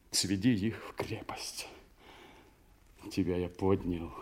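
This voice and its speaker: An actor playing a cold, ambitious young nobleman and commander, measured and calculating, in a theatrical radio drama. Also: fairly low pitch, a little mellow, slight background noise. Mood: conspiratorial, commanding.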